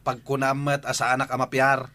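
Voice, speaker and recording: A man's voice: mid-range voice, monotone, brisk, bright, forceful tone, lightly acted. A man playing a cheeky, flirtatious household driver in a radio comedy-drama, sly in his delivery. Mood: sly, teasing.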